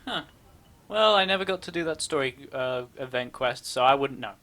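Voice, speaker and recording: A woman's voice: very deep voice, animated, moderate pace, fairly bright tone. A woman giving casual game playthrough commentary as a streamer, with dry, sarcastic banter.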